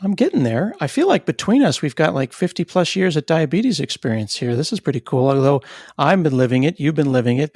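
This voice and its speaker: A middle-aged man hosting a podcast interview, talking in a relaxed, thoughtful way with occasional restarts. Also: mid-range voice, dry recording, clean studio sound. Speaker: podcast host. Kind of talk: conversational interview.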